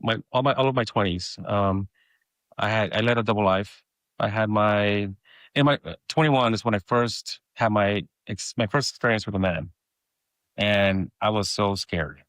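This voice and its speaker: Low-voiced hesitant man telling his story. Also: normal speed, fairly bright tone, no echo. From Mexico. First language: Spanish. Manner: hesitant, repeats words and restarts often. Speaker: podcast guest. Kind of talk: personal storytelling in conversation.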